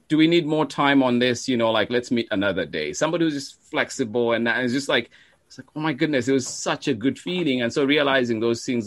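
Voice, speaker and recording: A man, a teacher being interviewed, talking casually and reflectively in halting, trailing clauses. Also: mid-range voice, dry recording. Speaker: teacher and storytime show creator, guest.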